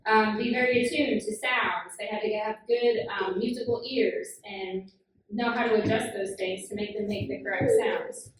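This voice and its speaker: A woman's voice: medium pitch, fairly even intonation, normal speed. A woman teaching a children's Bible class, asking the kids questions patiently with frequent "um" fillers. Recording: very echoey room, far from the mic, noisy.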